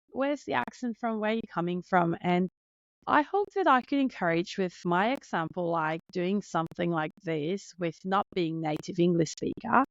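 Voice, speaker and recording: Finnish-accented woman in her thirties, lowish voice, sincere and a little nervous solo podcast host, dry muffled recording. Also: no echo. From Finland. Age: 30s. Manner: earnest, openly nervous, heartfelt.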